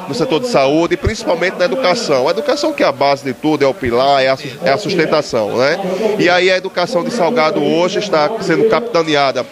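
A man in his thirties with a Northeastern Brazilian accent, answering an interview as a mayor in formal, measured political phrasing. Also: on the high side. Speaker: town mayor being interviewed. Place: outdoor night event, in front of a sponsor backdrop. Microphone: handheld microphone held by interviewer. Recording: loud crowd noise behind, outdoors.

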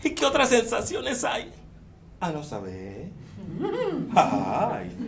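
A man voicing Death as a naive female figure in a stage folktale, with shrill, shouted cries. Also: huge pitch swings, very slow, full character voice. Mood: curious. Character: high shrieking Death, female, curious and naive. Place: dark theater stage.

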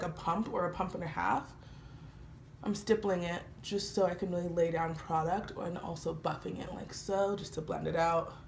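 A young woman with a lowish voice, a little stuffy from a cold, chatting easily as she works through her makeup. Her words ring around a small, echoey room, and a faint hiss sits underneath. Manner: chatty, relaxed, frequent fillers. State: slightly congested from a cold. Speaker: beauty vlogger. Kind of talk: casual makeup tutorial talk. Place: small indoor room, patterned wall with lights. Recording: roomy echo, faint background hiss.

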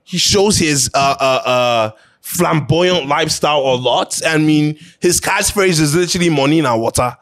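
A man's voice: on the high side, moderate pace, a bit bright. A man hosting an opinion show, talking casually and emphatically in a conversational way, repeating phrases to drive his points home.

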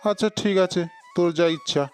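Man playing a blunt, high-voiced village boss. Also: brisk, darkish tone, soft background music, lightly acted. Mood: brusque. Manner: commanding, blunt. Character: stern village landlord and other authority elders. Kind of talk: Bengali cartoon dubbing.

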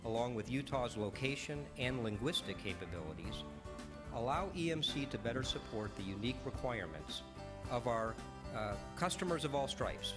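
A man giving a measured corporate speech as a company executive, with some hesitant fillers. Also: medium pitch. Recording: music playing underneath.

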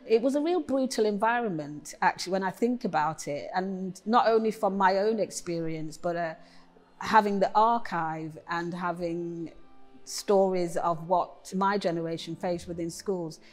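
Woman, middle-aged, low voice, reflective, faint music underneath. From England. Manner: reflective and candid. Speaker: poet. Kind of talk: documentary interview. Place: gallery room, indoors.

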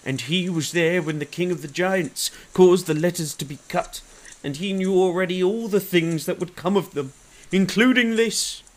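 Man playing an earnest, higher-pitched marsh creature. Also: normal speed, lightly acted, low-quality recording. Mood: earnest conviction. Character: earnest, stubbornly loyal gloomy frog-like marsh creature. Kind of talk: fan audio drama acting.

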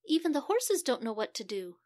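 A woman's voice: sits high, sing-song, a little fast, a little mellow, no room sound, studio quality, voice pushed up. A woman voicing an anxious, flustered male castle knight in a children's story. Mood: panicked.

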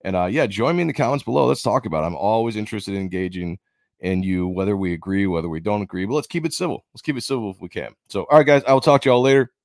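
Deep-voiced man ranting about comics. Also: very fast, a little mellow, no room sound, studio quality. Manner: rambling, opinionated, repeats himself. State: losing his voice, coughing. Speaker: comic book channel host. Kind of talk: casual opinion commentary.

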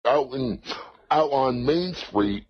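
A man with a husky voice rambling on a call in a halting, repetitive, confrontational way with odd broken phrasing. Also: medium pitch, lively intonation, no room sound. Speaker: prank call caller, played through a soundboard. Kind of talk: rambling phone talk. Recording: dull lo-fi soundboard clips.

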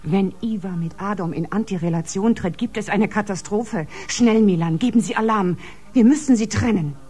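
A woman's voice: mid-range voice, moderate pace, warm tone, husky, soft background music, close to an everyday voice. An actress playing an agitated, grave female ship's doctor in a science-fiction radio drama, with dramatic delivery. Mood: alarmed. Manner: dramatic, shifting from brooding to urgent.

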